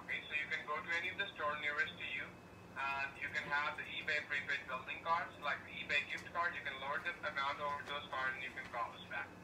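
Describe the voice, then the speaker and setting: A man working as a phone agent, giving repetitive, halting instructions, heard through a speakerphone. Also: on the high side. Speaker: call centre phone agent. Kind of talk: phone customer service conversation. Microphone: phone speaker picked up by room camera. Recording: noisy phone call played over speakerphone.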